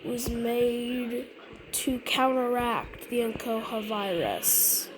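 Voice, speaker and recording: Woman, somewhat high voice, emergency broadcast announcer, scripted alert, halting delivery, noisy recording. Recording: noisy recording with steady background noise.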